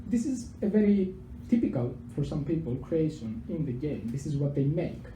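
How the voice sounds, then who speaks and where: A man's voice: on the high side, expressive, moderate pace, mellow, slight background noise. Greek-accented young man in an echoey venue. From Greece. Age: young adult. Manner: chatty and self-deprecating, with frequent fillers and repeated words. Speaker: PhD researcher giving a public talk. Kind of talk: informal public science talk. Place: pub venue with a live audience. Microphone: handheld microphone. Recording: echoey venue with faint background ambience.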